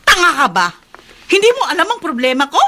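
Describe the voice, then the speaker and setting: A woman playing a bitter, resentful wife in a radio drama, scolding with loud, scornful exasperation. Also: high-pitched, big pitch swings, brisk, noisy background. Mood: menacing, scornful. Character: bitter, resentful, sharp-tongued wife and reluctant guardian. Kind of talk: Filipino radio drama acting.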